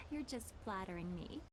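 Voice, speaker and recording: Brief: youthful military data analyst for a video game, high, polite, earnest and friendly. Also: female voice, noisy background. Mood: playful, flattered. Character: bright, polite young female technology specialist.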